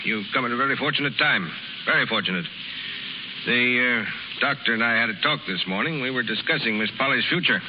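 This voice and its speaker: Man playing officious, meddling town gentleman; mid-range voice, formal and measured, dull hissy vintage radio recording. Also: mid-range voice, lightly acted. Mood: falsely cordial. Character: stuffy, meddling small-town busybody gentleman. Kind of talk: 1930s radio drama acting. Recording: crackly, muffled 1930s radio broadcast recording.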